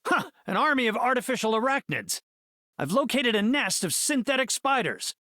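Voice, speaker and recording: An actor playing an earnest middle-aged scientist superhero in a video game, speaking in an articulate, cerebral, heroic way. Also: male voice, extremely high pitch, big pitch swings, normal speed, sharp tone, no room sound, studio quality, full character voice. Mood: assured, analytical. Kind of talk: video game hero voice lines.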